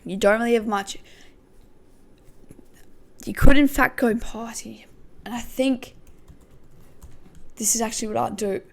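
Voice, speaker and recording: A woman hosting a casual football podcast, her voice croaky, thinking aloud with many hesitations. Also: on the high side, steady tone, unhurried, pauses often. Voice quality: gravelly. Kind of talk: casual pre-match football talk.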